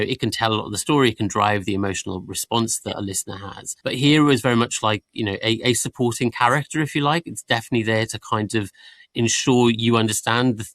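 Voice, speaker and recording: Male panel guest, slightly low voice, dry recording, conversational interview delivery. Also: a bit bright, no echo. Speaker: production team member interviewed on a panel.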